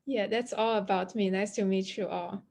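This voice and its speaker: Young woman from China, PhD panelist, mid-pitched, halting with fillers, video call. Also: steady tone, gravelly, darkish tone. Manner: hesitant, many fillers. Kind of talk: online panel self-introduction.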